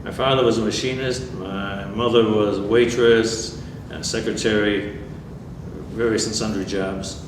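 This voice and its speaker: Older male veteran, low voice, measured and dry; roomy, distant, noisy recording. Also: fairly even intonation. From New York State. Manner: plainspoken, dry humor, measured. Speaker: veteran interviewee. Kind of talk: oral history interview. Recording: echoey room, far mic, background noise.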